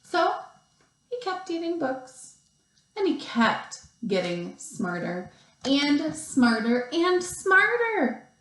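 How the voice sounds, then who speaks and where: A woman teacher reading a picture book aloud to young children, expressively, with deliberate pauses and stretched emphasis. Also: sits high, very animated, a bit bright. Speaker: second grade teacher. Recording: roomy, echoey sound.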